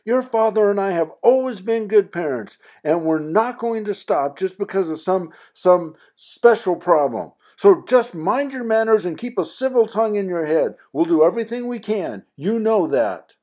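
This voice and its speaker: A man putting on a shrill scolding mom. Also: animated, moderate pace, few pauses. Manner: high, loud and pleading. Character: anxious middle-aged mother, shrill and scolding.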